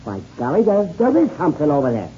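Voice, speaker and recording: An actor playing an excitable, easily rattled, folksy American airman sidekick with a stammer, in 1930s radio serial style. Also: a little high, fast talker. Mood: relieved amazement. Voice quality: stammering delivery. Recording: muffled, noisy old radio recording.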